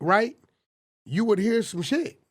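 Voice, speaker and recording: Animated man in his forties, fairly high voice. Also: takes it slowly. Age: 40s. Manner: animated, swearing street talk. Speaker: podcast guest. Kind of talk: casual storytelling.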